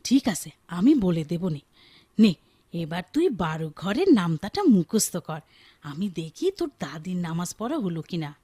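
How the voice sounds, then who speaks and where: A woman with a Bangladeshi accent acting a caring, gently strict young village mother in a Bengali radio drama. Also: lowish voice, sing-song, normal speed, breathy edge, no echo, clean studio sound. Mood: teasing, motherly.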